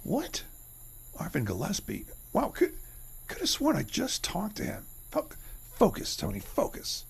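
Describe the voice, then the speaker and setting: A man's voice: high-pitched, wildly swooping pitch, measured pace, creaky, breathy edge, full character voice. An actor playing a greedy, grumpy man who mutters to himself. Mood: muttering. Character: greedy grumbling man named Tony. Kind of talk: radio drama acting.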